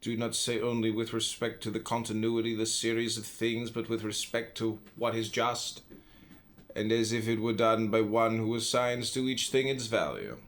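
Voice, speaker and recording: Low-pitched man reading philosophy aloud. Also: steady tone, normal speed, slight background noise. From United States. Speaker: audiobook reader.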